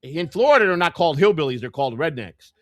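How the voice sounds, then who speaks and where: A man's voice: fairly high pitch, sing-song, fast, fairly bright tone, no echo. A man with a Chicago accent, co-hosting a casual livestream chat and rambling with many repeated words and restarts. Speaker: co-host.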